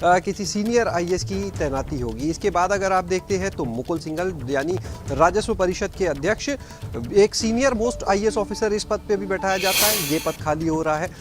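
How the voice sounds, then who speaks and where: A man's voice: high voice, normal speed, faint music behind. A man reporting live news in an explanatory, conversational manner, with some hesitant filler sounds.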